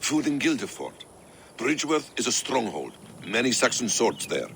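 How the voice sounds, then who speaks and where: Serious viking warrior advisor, lowish gravelly man, lively intonation, game acting. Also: normal speed, a little hiss or hum. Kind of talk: dramatic video game acting.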